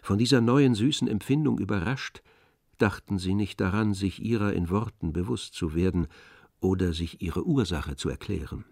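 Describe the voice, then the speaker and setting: A man narrating a literary audio drama in measured, polished storytelling phrases. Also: low voice, vocal fry, darkish tone, dry recording, studio quality.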